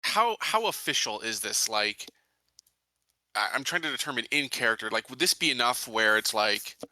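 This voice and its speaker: Male tabletop player, piercingly bright tone, thinks out loud and queries things, dry close sound. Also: medium pitch, expressive, normal speed, dry recording. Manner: questioning, thinking aloud. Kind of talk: casual game-table chat.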